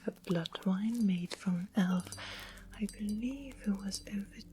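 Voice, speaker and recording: A woman playing a sweet but sly fantasy witch in a whispered ASMR roleplay, coaxing and softly menacing with polite, breathy speech. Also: medium pitch, measured pace, background noise, close to an everyday voice. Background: music and ambient sound.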